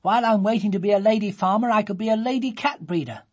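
A woman's voice: medium pitch, quick pace, darkish tone, husky, pitched above the performer's own voice, natural voice. A woman voicing a determined little girl who wants a farm, talking in an earnest, stubborn way. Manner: earnest, stubborn, sulky when thwarted.

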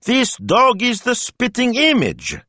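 A man voicing an excitable, amused grandmother in an audiobook story, exclaiming with teasing delight. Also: extremely high pitch, takes it slowly, no echo, pitched above the performer's own voice, exaggerated voice. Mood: delighted amazement.

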